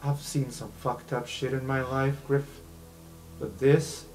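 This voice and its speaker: A man's voice: mid-range voice, flat delivery, slow, darkish tone, soft background music, lightly acted, low-quality recording. A man voicing a confident young woman student in a tabletop game, shaken but commanding. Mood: shocked, subdued.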